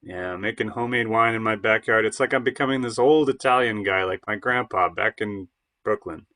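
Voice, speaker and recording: A man's voice: fairly low pitch, a little fast, sharp tone. A man playing a hesitant, stammering, defensive would-be bohemian in his late thirties in an audio play. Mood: proud, defensive.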